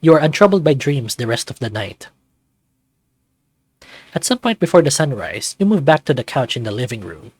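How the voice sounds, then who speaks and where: A man's voice: mid-range voice, big pitch swings, normal speed, long pauses, slightly rough, no room sound. A man reading a visual novel aloud as narrator and player, with occasional asides of his own.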